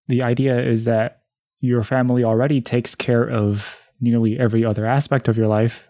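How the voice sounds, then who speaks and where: A man from Taiwan, training in medicine, who speaks in a measured, composed way in a podcast discussion. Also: mid-range voice, dark tone, no echo, studio quality.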